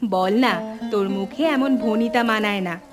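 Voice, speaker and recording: A woman playing a sensible married elder sister and mother in an animated family drama, composed and reflective. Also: mid-range voice, unhurried, music in the background, natural voice.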